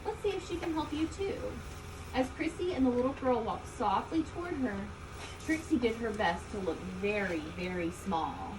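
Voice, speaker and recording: A woman reading a children's story aloud, expressively, with deliberate emphasis on key words. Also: on the high side, expressive. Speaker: storybook reader. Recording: distant mic, loud background ambient noise.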